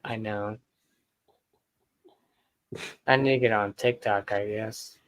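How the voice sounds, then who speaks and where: A man's voice: fairly low pitch, steady tone, moderate pace. Easygoing beer-streamer man. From Philadelphia. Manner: easygoing, chuckling, trails off. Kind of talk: casual chat.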